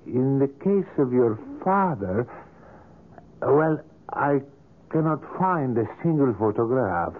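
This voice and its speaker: Fussy, swooping, ingratiating sculptor. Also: male voice, medium pitch. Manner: hesitant, effusively polite. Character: fussy, ingratiating sculptor, apologetic, wheedling, insistent. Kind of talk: 1970s radio drama acting. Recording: narrow, tinny old radio recording.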